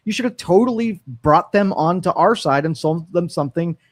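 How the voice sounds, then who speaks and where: A man's voice: high-pitched, animated, moderate pace, no room sound. A man co-hosting a talk show from a studio, musing aloud with frequent fillers and restarts. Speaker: radio talk show co-host. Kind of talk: casual opinion talk.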